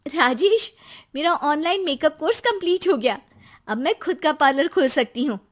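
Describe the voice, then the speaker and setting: A woman voicing an emotional young wife in a cartoon story, speaking in a pleading way that takes offense quickly. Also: sits high, animated, voice pushed up. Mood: worried.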